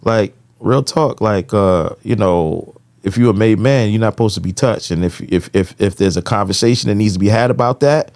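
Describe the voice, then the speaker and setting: A man's voice: on the low side, normal speed, creaky, few pauses, a little mellow. An American man in casual podcast conversation, giving his opinions with frequent conversational tag phrases. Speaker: podcast co-host.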